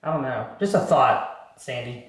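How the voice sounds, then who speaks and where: A man's voice: medium pitch, animated, normal speed, fairly bright tone. A man coaching on a live card game show in casual strategy chat over a roomy voice call.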